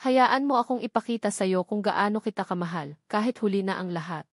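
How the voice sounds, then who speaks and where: A woman acts out a wealthy older mother in an audiobook melodrama. She pleads with halting, remorseful words, in a medium pitch and a dry, close sound. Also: no room sound, natural voice. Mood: pleading.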